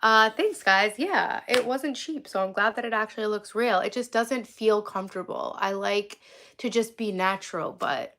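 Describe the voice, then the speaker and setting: A woman in her forties from Long Island, chatting on a live stream outdoors under a tree. She talks in a rambling way with lots of "like", has vocal fry, and sounds sniffly from a cold. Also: medium pitch, moderate pace, sharp tone. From Long Island, New York. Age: 40s. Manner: rambling, heavy use of "like". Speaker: livestream vlogger. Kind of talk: casual live stream chat with viewers.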